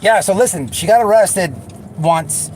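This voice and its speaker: Blunt man livestreaming, higher-pitched voice, noisy backdrop. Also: measured pace. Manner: blunt, slangy, profane. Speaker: livestream host. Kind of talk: casual livestream chat and commentary. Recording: noisy recording with car sounds.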